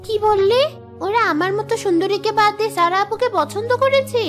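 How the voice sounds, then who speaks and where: A woman's voice: very high voice, brisk, a bit bright, soft background music. A woman voicing a vain, petulant young daughter of marrying age in a cartoon family drama, with a girlish, whiny manner. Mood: indignant, jealous.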